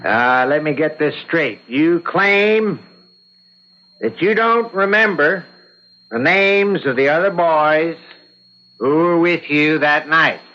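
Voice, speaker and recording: A man's voice: a little high, pushed and bright. A man acting a methodical courtroom attorney, formal and persistent in questioning, in an old-time radio drama. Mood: pointed, skeptical. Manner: formal, deliberate, probing. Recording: dull lo-fi vintage radio recording.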